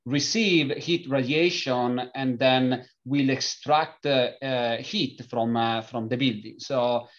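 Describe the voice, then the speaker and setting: Italian man, halting, full of fillers. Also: mid-range voice, lo-fi sound. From Italy. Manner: hesitant, many filler sounds and restarts. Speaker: company founder, guest. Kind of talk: conversational interview.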